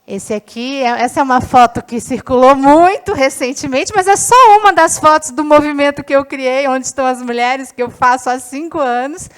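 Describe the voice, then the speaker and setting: A woman's voice: sits high, normal speed, few pauses. A woman giving a short talk and then moderating a panel, speaking emphatically. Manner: emphatic, lively.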